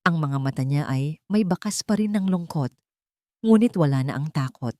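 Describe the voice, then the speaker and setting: Female story narrator, lowish register, solemn measured phrasing, dry studio-like sound. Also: expressive, a little mellow, no echo. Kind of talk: scripted dramatic story narration.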